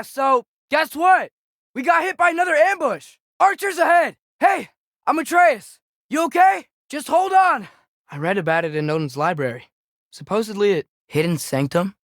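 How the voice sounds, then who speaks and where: A man's voice: a little high, a bit bright, no room sound. Earnest teenage boy adventurer, dry close recording. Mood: urgent, calling out, then curious, musing. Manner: casual, curious, wry asides. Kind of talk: naturalistic video game dialogue.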